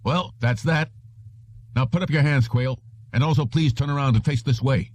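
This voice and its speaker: A man voicing a calm, menacing policeman of the future in an audiobook, measured and smug. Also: mid-range voice, no echo, pitched above the performer's own voice, lightly acted. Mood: commanding.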